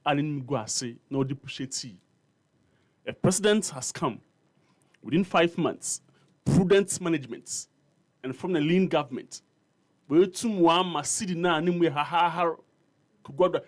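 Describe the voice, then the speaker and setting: A man's voice: a little high, measured pace, long pauses. A Ghanaian man debating prices and government policy on a radio panel, insistent and argumentative, mixing English with Twi. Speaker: panel guest. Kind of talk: heated political debate. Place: radio studio.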